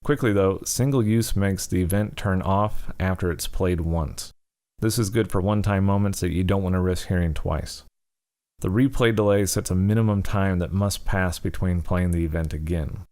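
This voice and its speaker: A man with a low-pitched voice narrates a tutorial. He explains things step by step in an informal, instructional manner, as a presenter walking learners through software.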